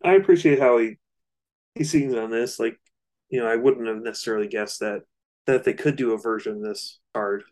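A man co-hosting a casual discussion of music, speaking in hesitant, halting phrases. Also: mid-range voice, darkish tone. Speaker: music discussion podcast co-host.

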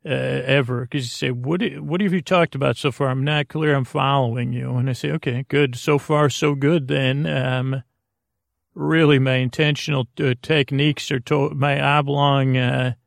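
A man hosting a sleep podcast in a creaky, lulling voice, meandering and stammering through deliberately dull tangents. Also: medium pitch, steady tone, no echo, studio quality. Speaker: host of a bedtime-story podcast. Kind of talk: rambling sleep podcast monologue.

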